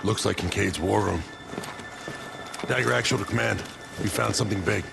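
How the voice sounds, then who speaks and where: A gruff, hard-driving squad leader in a war game. His voice is low and gravelly, his orders terse and blunt, with loud music behind him. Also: normal speed. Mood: terse, focused. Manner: terse, commanding, profane. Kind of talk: cinematic video game acting.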